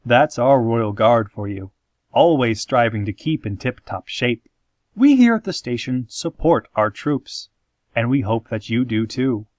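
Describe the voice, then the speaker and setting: A man playing a calm, eerie small-town radio announcer, reading scripted parody news in a measured, theatrical, deadpan way. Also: medium pitch, no echo. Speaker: radio host in a parody podcast.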